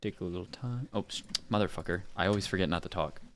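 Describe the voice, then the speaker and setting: A man's voice: deep voice, fast, slight background noise. A man chatting casually and playfully on a livestream as a voice actor, sometimes muttering to himself. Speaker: streamer, voice actor.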